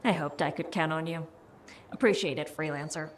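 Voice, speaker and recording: An actress playing a practical, plain-spoken woman who hands out contracts in a video game, brisk and businesslike. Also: low voice, a little hiss or hum, natural voice. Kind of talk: video game character dialogue.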